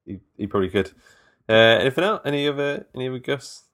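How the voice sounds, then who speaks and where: A man's voice: medium pitch, quick pace, a bit bright. A man hosting a horror film podcast, chatting casually with his co-host and often trailing off mid-sentence. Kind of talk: casual chat.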